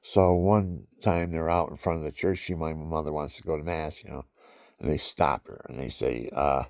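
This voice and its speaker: A man's voice: a bass voice, fairly even intonation, normal speed, gravelly, darkish tone, dry recording, clean studio sound. A man telling rambling personal stories in a meandering way, repeating himself and chuckling at his own tales. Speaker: vlogger.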